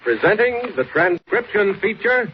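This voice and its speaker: A man's voice: high-pitched, big pitch swings, unhurried. Dramatic male announcer, muffled vintage recording. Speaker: announcer and narrator. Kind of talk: dramatic 1940s radio serial narration. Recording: muffled, faintly noisy old radio recording.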